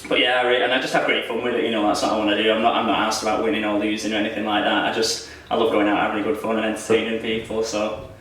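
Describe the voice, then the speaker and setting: Fast-talking young man, echoey. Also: on the low side, level tone, fairly bright tone, a little hiss or hum. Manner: rambling, self-deprecating, laughs often. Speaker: wrestler interviewee. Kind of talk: casual interview chat. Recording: echoey room, voice from far off.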